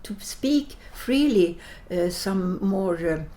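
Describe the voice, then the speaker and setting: Older latvian-native lady from sweden being interviewed, soft mellow tone, keeps searching for words. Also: mid-range voice, animated, slow. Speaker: interview guest. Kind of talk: reflective interview answers.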